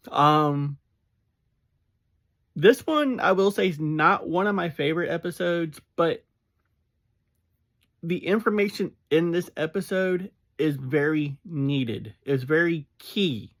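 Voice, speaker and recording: Laid-back fan reviewer rambling along slowly, mid pitch, chatty with some ums. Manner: easygoing and chatty, with laughs and "um"s. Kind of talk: casual spoken review.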